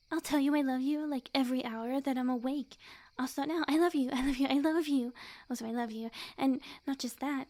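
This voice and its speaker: A woman playing a sweet, clingy, lovesick young witch in an ASMR roleplay, speaking softly and breathily in an intimate, coy, earnest way. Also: high voice, lightly acted. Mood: giddy, excited. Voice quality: soft, breathy, often near-whisper.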